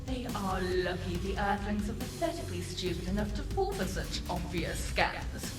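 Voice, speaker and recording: Game casting: actress as seductive succubus demon, condescending and theatrical, roomy sound with music behind. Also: female voice, mid-range voice, lively intonation, normal speed, distant mic, lightly acted. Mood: sardonic, disdainful. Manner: sardonic, florid, condescending, swears freely. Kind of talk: video game character acting.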